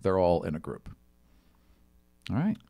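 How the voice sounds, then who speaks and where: A man running a tabletop game, narrating as game master in a casual, halting, improvised way. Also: sits low, big pitch swings, gravelly, mellow. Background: background music.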